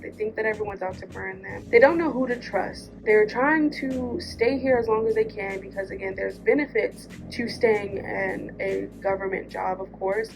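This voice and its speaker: An American woman delivers a candid vlog monologue over clearly audible music. Her voice sits at an average pitch for a woman. She is frank about her grievances and stresses her points firmly. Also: rough recording. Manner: frank, emphatic, airing grievances. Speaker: vlogger, departing police dispatcher.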